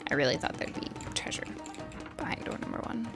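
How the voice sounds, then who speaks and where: A woman doing casual, playful gameplay commentary as a streamer, full of quips and teasing asides. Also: fairly quick. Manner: quippy, dry teasing asides. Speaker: game streamer. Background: game music.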